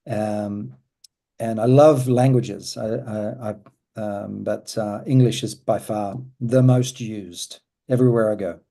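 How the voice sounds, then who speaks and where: Dari Australia, pria bersuara lembut hangat. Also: male voice, on the low side, steady tone, takes it slowly, gravelly, mellow. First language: German. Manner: thoughtful, repeats words as he gathers thoughts. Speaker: guest musician. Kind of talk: casual interview chat.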